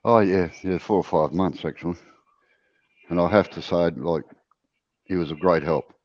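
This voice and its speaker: Deep laid-back Australian man, breathless. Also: moderate pace, darkish tone, no echo, dull audio. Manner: laid-back, drawling, chuckling asides. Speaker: woodturning livestream host. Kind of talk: casual chat with viewers and co-host.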